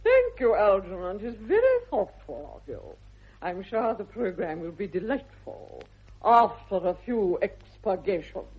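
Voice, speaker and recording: An actress playing an imperious, snobbish middle-aged English aristocratic aunt in a 1960s radio comedy, with haughty, grand emphasis. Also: female voice, medium pitch, slightly rough. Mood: grandly disapproving. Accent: upper-class English. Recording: slightly dull vintage radio recording.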